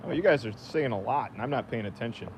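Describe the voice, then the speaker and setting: A man's voice: expressive, fast. An American man talking casually and unscripted as a livestream host, rambling half to himself while he works. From United States. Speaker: livestream host, DIY woodworker. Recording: noisy workshop, machinery rumble.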